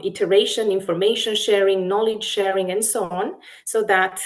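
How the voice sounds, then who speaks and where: A woman researcher from Romania with a Romanian accent, explaining her work in a webinar talk in a deliberate way, often pausing mid-phrase. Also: on the low side. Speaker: academic researcher, webinar lecturer.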